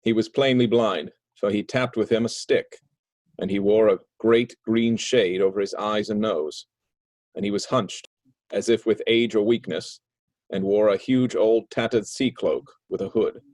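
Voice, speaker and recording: A man narrates a story in a low voice with an even, measured delivery. The sound is dry and close. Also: no room sound.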